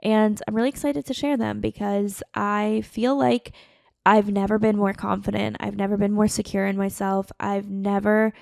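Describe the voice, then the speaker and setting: Woman in her late twenties, creaky voice, dead dry close mic, chatty, earnest, rambling podcast talk. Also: medium pitch, steady tone, moderate pace, darkish tone, no echo. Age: late 20s. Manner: chatty, earnest, heavy on fillers. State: tearful, emotional. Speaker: podcast host. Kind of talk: casual solo podcast monologue.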